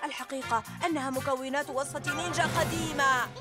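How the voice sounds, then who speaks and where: A woman's voice: sits high, steady tone, quick pace, over loud music, natural voice. A woman voicing a sneaky, boastful boy ninja villain in a dubbed cartoon, talking in a gloating, teasing way. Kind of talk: cartoon dubbing.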